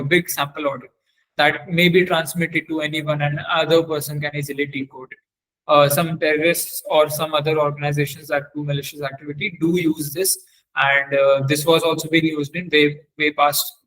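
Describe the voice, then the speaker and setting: A man presenting a live software demonstration as a webinar trainer, speaking haltingly and often repeating or correcting himself. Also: fairly high pitch, rough recording.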